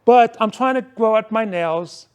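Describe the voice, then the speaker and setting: A man in his forties giving a prepared motivational club speech, deliberate and emphatic, with rhetorical questions and repetition. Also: sits high, a little mellow, clear, resonant tone. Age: 40s.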